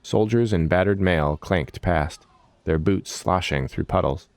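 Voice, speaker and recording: A man's voice: deep voice, a little slow, darkish tone, no room sound. A man reading scripted fantasy narration in a dramatic storytelling style.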